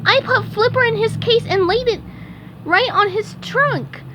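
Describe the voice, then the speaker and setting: A woman voicing an excitable young male sea sponge fry cook in a storybook read-aloud, shrill and overexcited. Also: a little slow, noisy background. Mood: eager.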